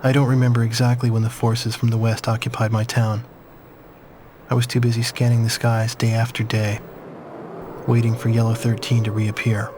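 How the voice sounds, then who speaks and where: An actor playing a reflective man who recalls his wartime childhood in measured, somber game-story narration. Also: mid-range voice, monotone, darkish tone, a little hiss or hum, natural voice. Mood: wistful, somber. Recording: music behind the voice. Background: prominent music.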